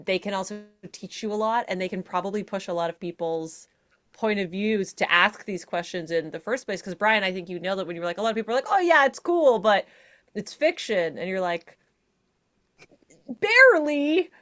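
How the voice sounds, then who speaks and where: A woman's voice: mid-range voice, lively intonation, moderate pace, fairly bright tone. Articulate woman with wry, wordy phrasing. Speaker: podcast panelist. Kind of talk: articulate panel commentary.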